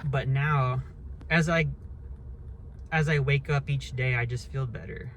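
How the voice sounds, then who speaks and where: A man in his early thirties holds forth in a loose, unscripted monologue, blunt and quick to swear as his thoughts wander and restart. His voice sits in an ordinary middle pitch, and a faint hiss runs under the recording. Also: fairly even intonation, a bit bright. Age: 30s. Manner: blunt, rambling, swears freely. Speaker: vlogger. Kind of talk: casual monologue rant.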